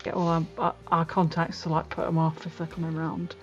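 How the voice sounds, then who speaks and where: A woman's voice: low voice, completely flat delivery, a little fast. A woman chatting casually as a player in a tabletop role-playing game. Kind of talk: casual chat. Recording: muffled lo-fi audio with music behind. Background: background music.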